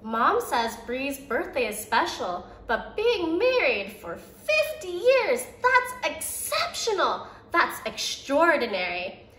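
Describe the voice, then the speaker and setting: A woman's voice: sits high, huge pitch swings, slow pace, bright, forceful tone, reverberant. A young woman who teaches preschool, reading a picture book aloud to children and voicing the quoted lines with expression. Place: indoor room by a brick fireplace.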